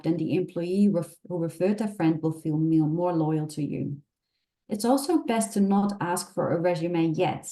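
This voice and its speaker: A woman from the Netherlands with a Dutch accent, presenting a webinar over a video call in a clear, instructive way. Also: on the low side, warm tone.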